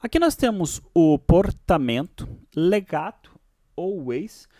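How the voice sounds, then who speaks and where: A man with a Brazilian accent presenting a software tutorial, switching between read-out explanations and casual asides. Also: on the high side, very animated, slow pace, dry recording, clean studio sound.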